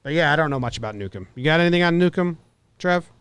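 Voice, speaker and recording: Male podcast host, informal sports banter, smooth clean delivery, no room echo. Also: a little high, animated, quick pace, a bit bright. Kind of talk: casual sports chat.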